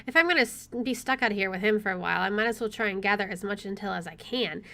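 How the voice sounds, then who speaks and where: A woman's voice: mid-range voice, expressive, quick pace, gravelly, sharp tone. A woman doing a game playthrough, reading narration aloud expressively and adding giggly asides of her own. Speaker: let's player.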